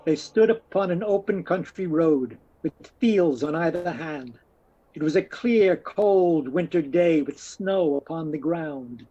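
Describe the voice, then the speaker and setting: High-voiced man narrating a dramatic tale. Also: mellow, dull audio. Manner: formal, literary, deliberate phrasing. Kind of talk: dramatic scripted storytelling narration.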